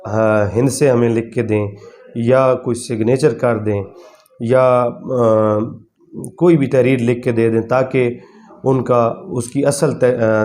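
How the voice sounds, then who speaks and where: Male legal lecturer, Pakistani accent, medium pitch, methodical and repetitive, halting unscripted explanation. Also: darkish tone. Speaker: advocate explaining law.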